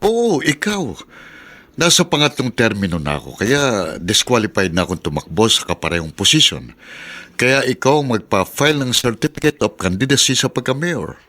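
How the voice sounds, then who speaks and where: Animated, scheming Filipino town mayor. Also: male voice, medium pitch, normal speed. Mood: pleased, eager. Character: confident, scheming male small-town mayor, persuading his wife. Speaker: radio drama actor. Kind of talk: Filipino radio drama acting.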